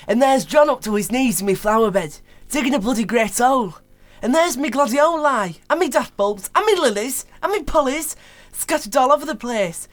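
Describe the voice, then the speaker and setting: A woman's voice: fairly high pitch, close to an everyday voice. A woman playing an indignant, salty-tongued working-class English neighbour, telling her tale colloquially in a radio play. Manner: colloquial, exasperated, sweary. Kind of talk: scripted anecdote in a radio play.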